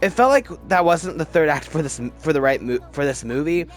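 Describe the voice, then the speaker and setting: A man giving casual, opinionated commentary as a video host, emphatic and halting, often restarting his sentences. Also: on the high side, expressive, soft background music. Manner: chatty, halting, restarts sentences mid-word. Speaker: movie review video host. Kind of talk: casual ranked-list movie commentary.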